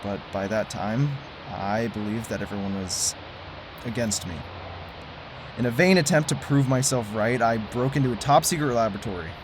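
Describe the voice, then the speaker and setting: A man's voice: medium pitch, close to an everyday voice. A man playing a tired, determined former conspiracy theorist, earnest in delivery, with a noisy background. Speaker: lead character.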